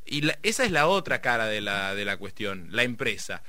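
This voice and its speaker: A man's voice: on the low side, very animated, moderate pace, pushed and bright, dry recording, studio quality. A middle-aged man with a Rioplatense Argentine accent explaining economics in casual conversation, rambling with many fillers and restarts. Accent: Rioplatense Spanish.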